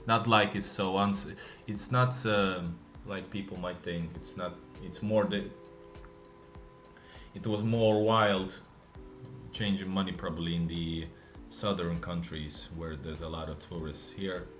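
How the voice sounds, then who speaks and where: A man with a Russian accent, live streaming and chatting casually with viewers, speaking in a deliberately lazy, relaxed way. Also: low-pitched, reverberant, soft background music. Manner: intentionally lazy, relaxed way of talking.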